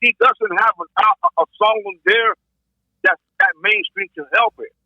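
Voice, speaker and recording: A man on a podcast panel with a raspy voice, arguing casually about music in an animated, slangy way. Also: sits high, measured pace, no echo, lo-fi sound. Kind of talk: casual debate about hip hop.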